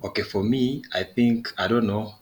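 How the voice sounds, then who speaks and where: A man's voice: lowish voice, normal speed. A young man, a trainee asking questions in class, hesitant and repeating words as he thinks aloud. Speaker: radiology trainee. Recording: faint background noise.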